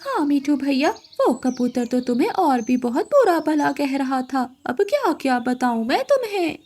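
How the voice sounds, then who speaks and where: A woman voicing a sly, gossiping female crow who goads others in an animated moral story. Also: sits high, unhurried. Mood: sly, goading. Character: scheming, gossiping female crow stirring up quarrels.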